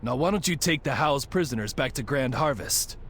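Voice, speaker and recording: An actor playing a blunt, wry officer in a game cutscene. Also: male voice, medium pitch, lively intonation, a little fast, a bit bright, a little hiss or hum. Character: gruff wry male officer.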